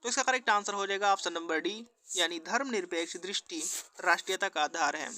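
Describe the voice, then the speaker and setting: A man's voice: high voice, a little fast, bright, forceful tone, no echo. A man working through exam practice questions as a teacher, with an instructional, formulaic question-and-answer delivery. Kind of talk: scripted quiz walkthrough lesson.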